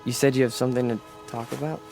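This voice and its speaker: An actor playing a needy, impressionable young agitator in a radio drama, speaking in a halting, pleading, earnest way. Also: male voice, mid-range voice, steady tone, gravelly, darkish tone, faint music behind, lightly acted. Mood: awed, hesitant.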